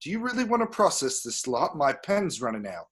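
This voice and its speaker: A wry, unbothered desk sergeant in a village police station read by a man. The voice sits fairly high for a man, delivered as part of an amateur table read. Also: very animated, fairly quick, a bit bright, breathy edge, exaggerated voice. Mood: wry, cheery.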